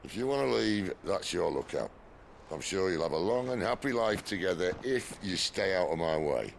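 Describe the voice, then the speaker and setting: An actor playing a world-weary, roguish rebel leader in a video game, gravelly and wry. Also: male voice, sits low, lively intonation, moderate pace, slight background noise. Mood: gruff. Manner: wry, laconic. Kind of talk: dramatic video game acting.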